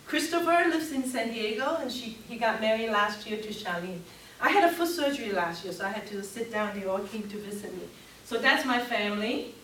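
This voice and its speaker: A woman's voice: on the high side, lively intonation, fairly quick, fairly bright tone, a little hiss or hum. A woman in her fifties from Hong Kong, with a Cantonese accent, giving a workshop talk and engaging her audience with questions. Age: 50s. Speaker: workshop speaker. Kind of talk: live seminar talk. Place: seminar room. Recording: echoey room, mic at a distance.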